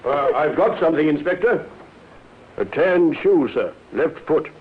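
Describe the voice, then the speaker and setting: A man's voice: on the high side, expressive, unhurried. An actor playing an eager, respectful male police sergeant in an old-time radio drama. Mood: eager, alert. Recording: tinny vintage radio with faint noise.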